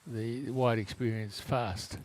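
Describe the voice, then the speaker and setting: A man co-commentating in a relaxed, halting way, restarting phrases often. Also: medium pitch, slight background noise. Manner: halting, with many restarts and uh fillers.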